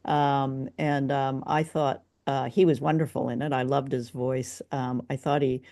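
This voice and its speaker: A woman's voice: very deep voice, flat delivery, a little slow, dark tone. A woman hosting a casual review discussion, conversational and inquisitive, often hedging as she puts her thoughts. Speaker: podcast host.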